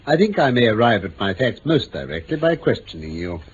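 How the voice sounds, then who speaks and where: English gentleman sleuth, lively swooping intonation, formal, probing, dryly ironic, old radio drama, muffled sound. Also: lowish voice, fairly quick, a little hiss or hum. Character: shrewd, courteous, coolly authoritative gentleman detective. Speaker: actor in the lead detective role. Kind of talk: 1950s-60s BBC radio drama acting.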